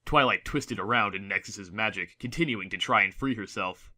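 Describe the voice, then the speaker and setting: Guy narrating an audiobook, fairly low voice, sharp and forceful, scripted dramatic read. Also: normal speed.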